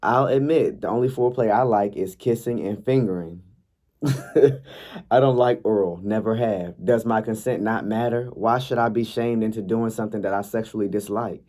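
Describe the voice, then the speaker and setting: Man, young adult, casual vlogger, raspy and congested, low warm voice, chatty. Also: moderate pace. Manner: laid-back, chatty, frequent ums and likes. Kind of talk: casual vlog talk, reading posts aloud.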